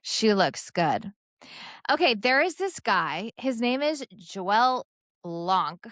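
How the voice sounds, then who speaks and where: A woman's voice: medium pitch, sing-song, slow, fairly bright tone, no echo, studio quality. A young woman co-hosting a podcast, chatting casually and enthusiastically about sports and lifestyle. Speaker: podcast co-host.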